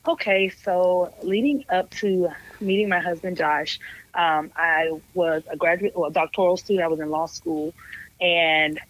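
A woman's voice: on the low side, fairly even intonation, unhurried, poor audio. Composed woman guest in her thirties. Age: 30s. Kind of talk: conversational interview answers.

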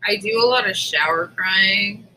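Bright, candid woman chatting casually. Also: mid-range voice, measured pace, a little hiss or hum, low-quality recording. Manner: candid, self-deprecating, profane. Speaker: podcast co-host. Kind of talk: casual confessional chat.

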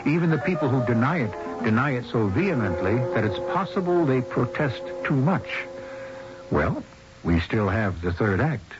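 A male host narrates a 1970s radio mystery. His scripted delivery is measured and philosophical, with dramatic emphasis. The sound is muffled, with music clearly playing behind him. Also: medium pitch, sing-song. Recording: dull old radio broadcast with music.

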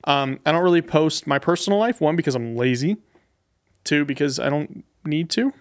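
A man's voice: on the high side, expressive, moderate pace. A man chatting casually as a podcast guest, in a self-deprecating and slightly nervous way. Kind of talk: casual chat.

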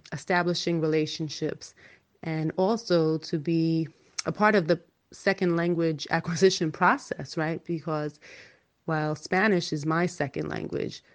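A woman from New York, an English as a second language teacher, telling her own story in an earnest, measured way. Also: deep voice. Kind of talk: reflective personal story.

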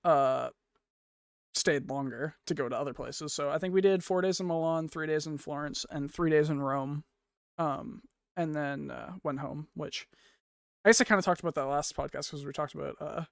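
A man's voice: on the high side, moderate pace, creaky, no echo, clean studio sound. A young man co-hosting a casual podcast, telling stories in a chatty, laughing way. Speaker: podcast co-host. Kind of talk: casual chat.

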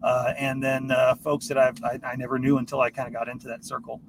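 A man's voice: medium pitch, monotone, fast talker, vocal fry. A man hosting a solo podcast in a casual, rambling style, speaking informally and swearing freely. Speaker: podcast host. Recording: noisy, distant microphone.

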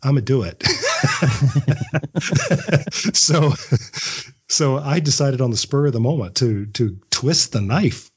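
A man's voice: medium pitch, slow, long pauses, breathy edge, muffled recording. A man giving casual, reflective interview answers as a guest writer, often repeating words as he thinks. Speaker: podcast guest, audio drama writer; script writing teacher.

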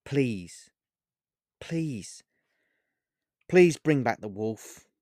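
A man's voice: high voice, wildly swooping pitch, slow, fairly bright tone, no room sound, clean studio sound, pitched above the performer's own voice, full character voice. A man voicing a distraught, emotional werewolf woman in an audiobook, pleading and indignant, repeating words under strain. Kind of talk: audiobook character voice.

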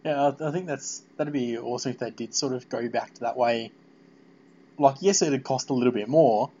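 A man's voice: medium pitch, moderate pace, dark tone. Australian man hosting, dull lo-fi audio. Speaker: podcast host interviewer. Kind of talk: casual interview chat.

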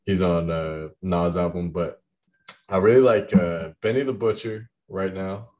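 A young man with a deep voice chatting casually about the music he loves, rambling with lots of fillers. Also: fairly even intonation, a little slow, a little mellow. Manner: rambling, heavy use of "like".

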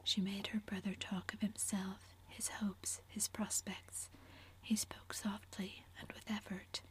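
A woman narrating a classic novel entirely in a soft, unvoiced whisper. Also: mid-range voice, level tone, slow. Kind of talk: whispered audiobook reading.

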